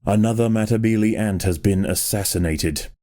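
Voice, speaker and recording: A man narrating a video game like a grave nature documentary, in a measured, solemn and precise manner. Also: lowish voice, dark tone, close to an everyday voice. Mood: solemn, ominous.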